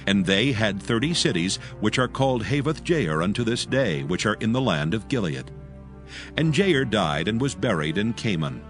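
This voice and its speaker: Guy narrating old scripture, solemn n measured, normal-low voice, music clearly behind him.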